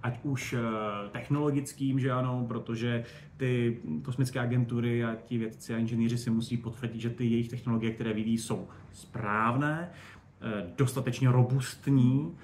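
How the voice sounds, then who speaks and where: A man's voice: mid-range voice, fairly even intonation, a little mellow. A man speaking as an expert guest in a conversational interview, digressing and often correcting himself as he goes. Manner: digressive, hesitant, self-correcting. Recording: echoey room with faint noise.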